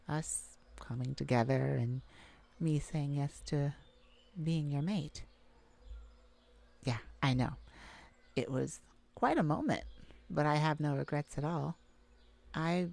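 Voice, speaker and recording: A woman playing a caring, affectionate mage gardener in a gentle ASMR roleplay audio drama. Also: low voice, vocal fry, heavily acted. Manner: affectionate, gentle, full of endearments. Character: tender, caring mage gardener devoted to their werewolf mate.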